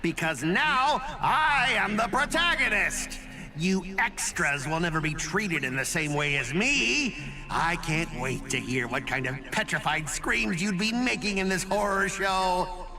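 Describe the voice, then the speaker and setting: A man's voice: sits high, animated, a little slow. An actor playing a theatrical, mocking villain who gloats over his victims in an anime game. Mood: gloating, mocking. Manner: mocking, grandiose. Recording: music behind the voice.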